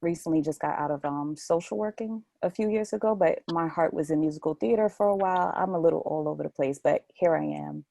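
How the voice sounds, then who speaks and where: Low, creaky-voiced woman, softly mellow. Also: quick pace, hardly any pauses, dry recording. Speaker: acting student. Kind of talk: casual chat about herself.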